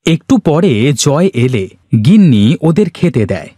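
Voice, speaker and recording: A man's voice: on the high side, sing-song, measured pace, warm tone, slightly rough, no room sound, studio quality. A man storyteller reading scripted narration for a cartoon moral tale.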